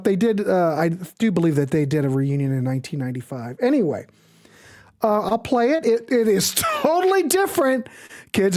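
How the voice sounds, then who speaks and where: A woman's voice: medium pitch, darkish tone. A woman hosting a music show with casual, off-the-cuff chat, folksy and chatty, often thinking aloud.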